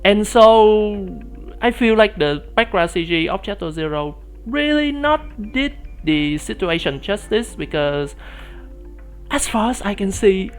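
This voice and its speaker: Guy with a kinda high baby-ish voice, rambling stream chat, lots of uh and like, laughs a bunch, faint music behind. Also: animated, a little slow, clear, resonant tone. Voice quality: youthful, boyish voice. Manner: rambling, laughs often, many fillers and restarts. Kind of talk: casual live-stream commentary.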